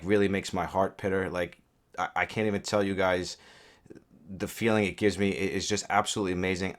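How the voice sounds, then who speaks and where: A young man with a stuffed-up, scratchy voice, talking casually through a game guide with earnest, rambling asides and many fillers. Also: low-pitched. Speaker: gaming YouTuber.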